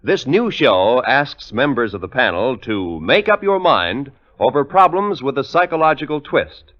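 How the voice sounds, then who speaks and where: A man's voice: medium pitch, big pitch swings, moderate pace. A man working as a network announcer, reading a scripted promo in formal 1950s radio style. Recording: muffled vintage radio recording.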